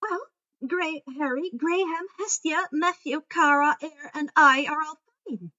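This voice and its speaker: A high, bright teenage girl as a woman reads her takes charge in a storybook tale. She is brisk, commanding and impatient, on a slightly dull recording. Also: no room sound. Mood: matter-of-fact.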